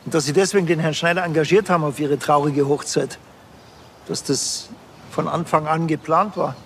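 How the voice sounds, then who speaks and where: An actor playing a gruff, sardonic police investigator in a TV crime comedy, blunt and dry. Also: male voice, on the high side, fairly even intonation, normal speed, fairly bright tone, slight background noise. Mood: probing, dry.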